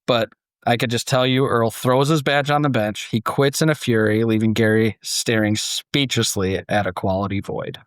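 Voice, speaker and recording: A man hosting a podcast, moving between storytelling and casual discussion, acting out imagined quotes in an animated way. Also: mid-range voice, moderate pace, smooth, no echo, clean studio sound. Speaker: podcast host.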